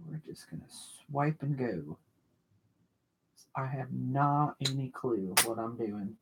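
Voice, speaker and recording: A craft livestreamer with a loud voice, rambling and thinking aloud while working at a home desk, mic set off the desk. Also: medium pitch, animated, slow, vocal fry, background noise. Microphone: mic kept off the desk, away from the speaker.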